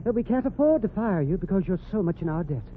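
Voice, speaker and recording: Pompous store floorwalker, flustered and prissy, lively intonation, tinny noisy 1940s radio recording. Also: male voice, high-pitched. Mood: haughty. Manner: prissy, flustered, haughty, drawn-out exclamations. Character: fussy, pompous department store floorwalker, male. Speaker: comic supporting actor. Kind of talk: 1940s radio comedy acting.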